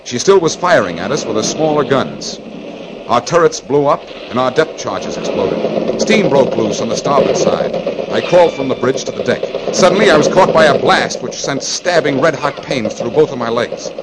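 A man playing a war correspondent who gravely recounts a battle in dramatic first-person radio narration. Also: mid-range voice, lively intonation, moderate pace, heavy background noise, close to an everyday voice. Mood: grave, tense.

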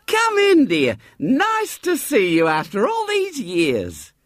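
Man doing a sweet fussy old lady, way high, swoopy and husky. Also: huge pitch swings, takes it slowly, no room sound, clean studio sound, exaggerated voice. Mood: gushing. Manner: gushing, fond. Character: motherly older woman, warm and beaming.

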